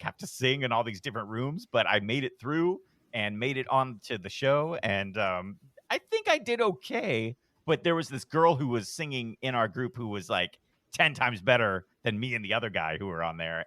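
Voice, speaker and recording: Podcast guest, deep-voiced man, middle-aged, relaxed and self-deprecating, dry close mic. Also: moderate pace, no room sound. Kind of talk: casual storytelling.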